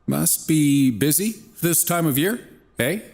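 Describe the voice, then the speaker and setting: A man's voice: fairly high pitch, animated, takes it slowly, gravelly, a little mellow, slightly rough, long reverb tail, pitched above the performer's own voice, full character voice. A man voicing an eager, deferential male recruit who feigns naivety in a horror story. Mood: feigned naive.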